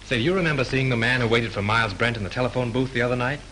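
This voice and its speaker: An actor playing a brisk male studio publicity man asking probing questions, in 1930s film acting. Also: mid-range voice, fairly bright tone, natural voice, low-quality recording. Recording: hissy 1930s film soundtrack.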